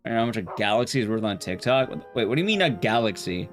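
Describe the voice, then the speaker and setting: Animated man chatting on a game stream. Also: lowish voice, racing, soft background music. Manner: rambling, conversational, blunt, swears casually. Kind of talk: casual live-stream chat while gaming.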